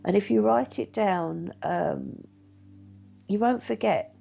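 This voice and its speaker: A middle-aged woman, a meditation teacher and medium, chatting gently and reassuringly to viewers with many fillers. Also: medium pitch, animated, takes it slowly, creaky. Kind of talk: casual live-stream chat reading viewer comments. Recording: dull lo-fi stream audio.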